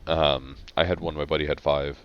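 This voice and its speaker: A man co-hosting a podcast, talking in a laid-back, dry, halting way. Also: extremely low pitch, flat delivery, a little hiss or hum. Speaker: podcast co-host. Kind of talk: casual chat. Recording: dull lo-fi mic sound.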